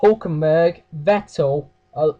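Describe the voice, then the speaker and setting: A man with a croaky voice giving a casual race recap, hesitant, with fillers and self-corrections. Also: fairly high pitch, takes it slowly, muffled recording. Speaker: race review host.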